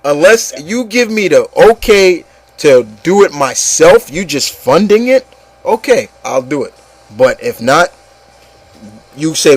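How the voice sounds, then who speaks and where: A man's voice: on the high side, dry recording, rough recording. Emphatic man hosting a casual opinion discussion. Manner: emphatic, repeats himself, opinionated. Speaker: host of an online opinion show. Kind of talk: casual group discussion of movies and games.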